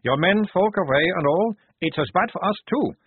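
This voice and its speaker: A man's voice: high voice, expressive, normal speed, breathy edge, dry recording, voice pushed up. A man voicing an oafish, self-pitying guard in a storybook, with a chummy, wheedling manner. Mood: leering, chummy. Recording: narrow tinny phone-like audio.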